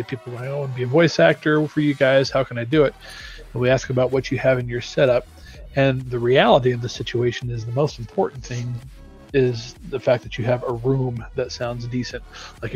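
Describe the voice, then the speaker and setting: Man, mid-pitched, emphatic and informal, advising viewers on a live stream with faint music underneath. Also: a little mellow, lo-fi sound. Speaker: game audio lead on a live stream.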